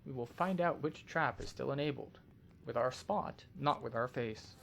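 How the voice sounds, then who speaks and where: A man's voice: mid-range voice, normal speed, vocal fry, a bit bright. A man giving walkthrough commentary on a video game as a tour guide.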